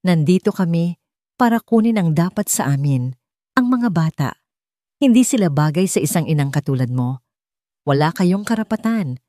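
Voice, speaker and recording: Woman reading as a threatening, contemptuous angry woman, low-pitched, mellow timbre, audiobook villain, dry sound. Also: animated, moderate pace, pauses often, dry recording, close to an everyday voice. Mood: bitter, scornful. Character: shrill, angry, menacing woman in-law bullying a widow.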